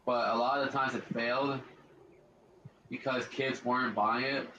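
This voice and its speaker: Gaming streamer, man, deep voice, loose rambling commentary with a faint hiss. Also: steady tone, normal speed, pushed and bright, roomy sound, poor audio. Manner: rambling, repeats himself, slangy. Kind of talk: casual let's-play commentary.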